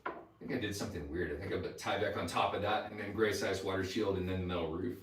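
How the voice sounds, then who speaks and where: Young man, tipsy, rambling fast, lowish voice, roomy echo, mic far off. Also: steady tone. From north Georgia mountains, USA. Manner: rambling, heavy use of "like", trails off mid-answer. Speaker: livestream host, truck camper traveler. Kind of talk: casual livestream chat. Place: room in a lodge, indoors. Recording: roomy echo, distant mic, faint hiss.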